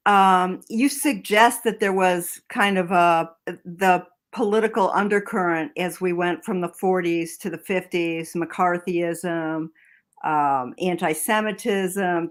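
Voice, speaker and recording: A woman interviewer putting questions conversationally, with tentative, pausing phrasing. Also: on the low side.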